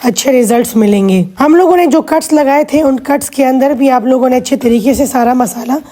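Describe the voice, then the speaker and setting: A woman hosting a cooking vlog with a hoarse voice, explaining each step patiently with chatty asides. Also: high voice, fairly quick, dark tone. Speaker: cooking channel host.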